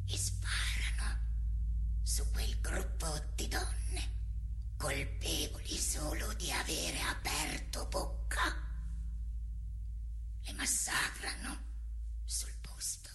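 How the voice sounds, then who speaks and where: An actress playing a woman activist who recounts a bloody uprising in a dramatic theatre monologue, in measured phrases broken by pauses. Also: sits low, background noise. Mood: hushed horror. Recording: prominent music under the voice. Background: loud theatrical music score.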